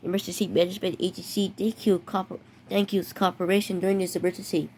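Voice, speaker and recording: Stumbling mock emergency announcer. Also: female voice, on the low side, level tone, darkish tone, slight background noise. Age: teenager. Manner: halting, many restarts and stumbles. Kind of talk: improvised fake emergency broadcast.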